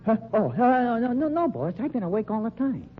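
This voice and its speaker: Dim, slangy flunky, tinny sound. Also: male voice, extremely high pitch, big pitch swings. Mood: sleepy, casual. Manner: slangy, stammering, slow-witted, evasive. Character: dim, nervous, sleepy male night-shift funeral home flunky. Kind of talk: old-time radio crime drama acting. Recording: tinny, noisy old radio recording.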